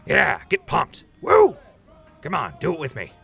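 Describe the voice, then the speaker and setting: A man playing an upbeat, chummy wasteland radio host with animated, informal patter in an audio drama. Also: on the high side, a little slow, creaky, husky, soft background music, heavily acted. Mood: pumped up.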